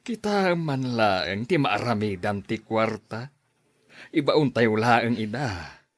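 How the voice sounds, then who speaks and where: A man's voice: mid-range voice, expressive, drawn-out words. A man playing a greedy, cunning crime boss in an audio drama.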